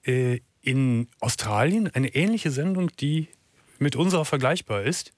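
A middle-aged man hosts a late-night call-in radio show from a dry, close studio mic. He sounds calm and reassuring, and he often restarts his phrases before finishing a thought. His pitch sits in an ordinary middle range. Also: a little slow, no room sound. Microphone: studio microphone.

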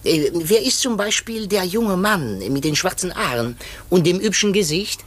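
A vain, courteous detective in a 1950s radio play. His voice is fairly high and swoops with very lively intonation, fussy and utterly sure of himself. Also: normal speed. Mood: curious, observant. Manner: self-assured, courteous, fussy.

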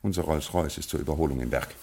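Ruined, absent-minded lord. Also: male voice, extremely low pitch, fast talker, gravelly, a little mellow, breathy edge, heavily acted. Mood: resigned stately. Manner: stately, absent-minded, dryly fatalistic. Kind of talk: 1950s radio drama acting.

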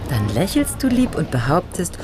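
A woman's voice: fairly low pitch, wildly swooping pitch, moderate pace, over music. An actress playing a practical, teasing woman who gives bossy instructions to a friend in a radio crime drama. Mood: urging, practical.

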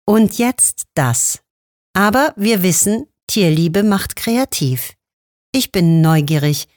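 Woman narrator, clear and lower-pitched. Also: darkish tone, no room sound, studio quality. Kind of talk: scripted narration for learners.

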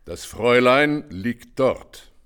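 An actor in a German radio play playing a calm, insistent holy beggar, speaking tersely and matter-of-factly. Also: male voice, medium pitch, slow, smooth. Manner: terse, unruffled, matter-of-fact. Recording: faintly noisy radio drama recording.

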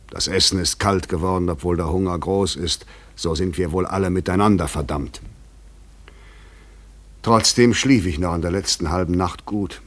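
An actor playing a restless, weary man on the run, speaking with brooding, literary intensity in a German radio play. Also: low voice. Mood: resigned. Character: restless, weary male fugitive, brooding and provocative.

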